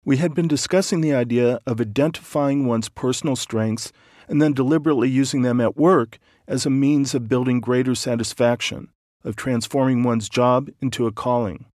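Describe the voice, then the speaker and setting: A man narrating an audiobook, calm and measured, explaining ideas patiently. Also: medium pitch, no echo, studio quality.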